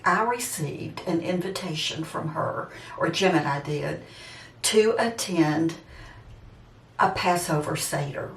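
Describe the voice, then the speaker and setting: A woman in her seventies chatting casually and a bit ramblingly to her viewers, addressing them directly. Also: lowish voice. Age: 70s, seventy-six. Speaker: vlogger. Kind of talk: casual personal chat to viewers. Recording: roomy echo, distant microphone.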